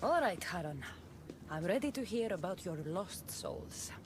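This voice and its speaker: A woman's voice: fairly low pitch, very animated, a little slow, noisy background. An actress voicing a determined, wry mortal warrior woman of ancient Greece in a video game, speaking in a dry, resolute way.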